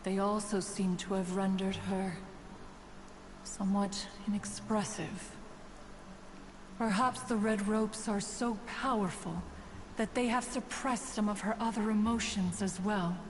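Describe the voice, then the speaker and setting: A woman's voice: medium pitch, steady tone, a little slow, natural voice. Woman voicing haughty immortal master in long reverb. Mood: solemn. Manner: pompous, stately, refers to self as one. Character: haughty, formal immortal master, female, ancient, protective. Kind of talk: video game character dialogue. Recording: long reverb, noisy stream capture.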